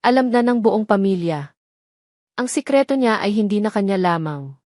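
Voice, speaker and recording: A woman reads a scripted romance as a dramatic storyteller. Her voice sits at a middle pitch. The sound is close and dry. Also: lively intonation, normal speed, darkish tone, dry recording.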